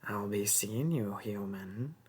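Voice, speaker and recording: A performer voicing a small, sneaky, mocking goblin thief in a fantasy audio roleplay, taunting and haggling in a husky, breathy voice that often drops to a whisper. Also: sits low, darkish tone, full character voice. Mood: menacing. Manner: taunting, haggling, drawn-out wheedling.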